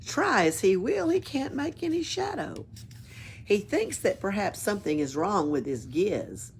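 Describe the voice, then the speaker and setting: A woman reading a children's storybook aloud in an expressive, playful way, adding her own asides. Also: medium pitch, a little slow, vocal fry, a little hiss or hum. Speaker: story reader at a library. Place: library.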